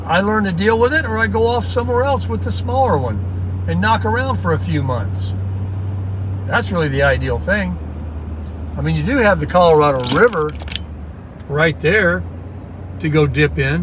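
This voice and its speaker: A man's voice: high voice, animated, moderate pace. Chatty man talking while driving. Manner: chatty, folksy, upbeat. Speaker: vlogger. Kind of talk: casual rambling talk while driving. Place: inside a moving car. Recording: faint road noise in car. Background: road and car noise.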